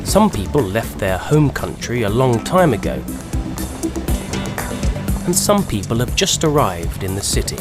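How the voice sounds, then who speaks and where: A man giving scripted narration for a language-learning documentary, with a lively delivery. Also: medium pitch, unhurried, pauses often. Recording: narration over clear music.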